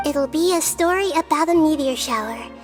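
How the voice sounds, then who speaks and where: A woman voicing a small, gentle, wise childlike goddess in a video game, composed and articulate, with a soft girlish voice. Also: high voice, moderate pace, loud music behind the voice, natural voice. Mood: encouraging. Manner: composed, articulate, kindly explaining.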